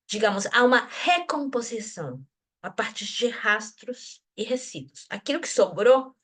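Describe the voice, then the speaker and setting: A woman from Venezuela speaking in an academic discussion group, thoughtful, often restarting and adding tag questions. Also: on the high side. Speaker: discussion participant.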